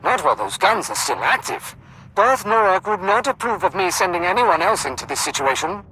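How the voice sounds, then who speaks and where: An actor playing a harried, formal military colonel over a comm in a video game, strained, with music behind. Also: male voice, high voice, sing-song, fairly quick, sharp tone, a little hiss or hum, full character voice, rough recording. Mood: strained, resigned. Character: harried male Imperial colonel giving orders by comm. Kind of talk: video game cutscene acting.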